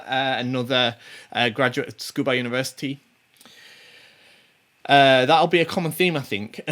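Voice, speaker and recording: A man in his forties talks loosely through a list of names as a show host, his voice at an ordinary middle pitch. He rambles, circles back with plenty of ums and false starts, and chuckles to himself now and then. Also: a bit bright. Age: 40s. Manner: rambling, many ums and restarts. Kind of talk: casual commentary on tournament players.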